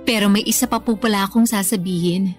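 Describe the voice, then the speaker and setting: A woman's voice: mid-range voice, steady tone, quick pace, faint music behind, close to an everyday voice. An actress playing a respectful, repentant grown daughter and young mother with a Filipino accent in a radio drama, speaking earnestly and politely. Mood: tentative. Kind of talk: Tagalog radio drama acting.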